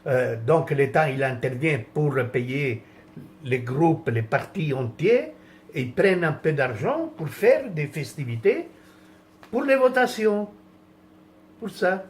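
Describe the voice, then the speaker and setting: A man's voice: fairly high pitch, very animated, slow, slight background noise. A man from France in a rambling, indignant rant, with many restarts and trailing phrases.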